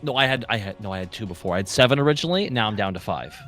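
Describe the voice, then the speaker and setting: A man's voice: fairly low pitch, expressive, rapid-fire, a bit bright. A man taking his turn as a player in a tabletop game, chatting casually and calling out his rolls. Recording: music playing behind the voice.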